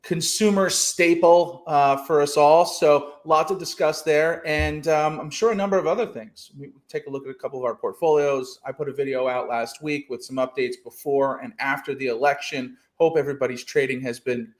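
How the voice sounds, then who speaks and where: A man's voice: on the high side, steady tone, moderate pace, few pauses. A man hosting a casual trading podcast over a video call, rambling with lots of fillers and restarts. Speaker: podcast host.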